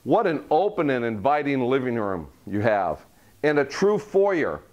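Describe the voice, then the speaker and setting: A man's voice: medium pitch, very animated, a little slow, lo-fi sound. A middle-aged man leading a walkthrough tour of a model home, listing its features in a salesy way. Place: furnished model home, indoors.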